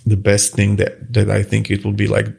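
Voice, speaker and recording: Gravelly, husky Croatian man. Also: fairly low pitch, completely flat delivery, normal speed, darkish tone. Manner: hesitant, many restarts and fillers. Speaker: guest, watch face designer. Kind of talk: casual interview answers.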